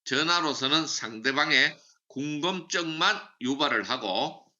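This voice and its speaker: A man's voice: a little high, measured pace, pushed and bright, highs cut off. A man giving a motivational sales training lecture, emphatic and repeating key slogans. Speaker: seminar speaker for a sales business.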